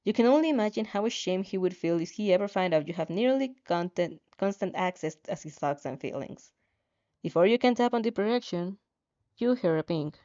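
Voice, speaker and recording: A woman reads second-person fan fiction with expression. Her tone is soft and mellow, her delivery informal with the odd stumble. The recording is a touch dull. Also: on the low side, lively intonation, brisk. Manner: informal, stumbles over lines.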